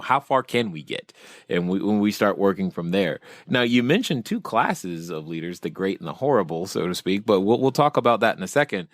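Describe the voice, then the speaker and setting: A man's voice: lowish voice, dry recording, clean studio sound. A man hosting an interview podcast, chatting in a conversational way and laughing easily. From grew up in a military family. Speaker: podcast host.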